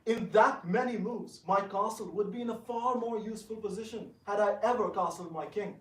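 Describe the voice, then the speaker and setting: Noble, stubborn prince male actor, high-pitched, formal and firm; echoey stage reading. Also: fairly quick, a bit bright. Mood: defiant. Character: dignified, stubborn divine prince with quick temper. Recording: echoey room, distant microphone.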